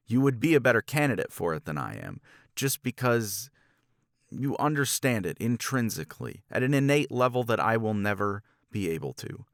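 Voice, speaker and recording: Man playing frustrated male academic, jealous, intimate ASMR roleplay, slow halting delivery, close dry recording, intense. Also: fairly low pitch, fairly bright tone, dry recording, full character voice. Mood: exasperated, jealous. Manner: halting, intense, slipping into breathy near-whispers. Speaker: audio roleplay voice actor.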